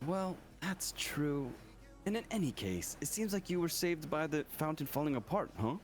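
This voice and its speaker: A man voicing a confident, teasing yet courteous rescuer in a visual novel, with a smooth, wry delivery. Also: a little high, animated, moderate pace, soft background music, lightly acted. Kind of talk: visual novel dialogue reading.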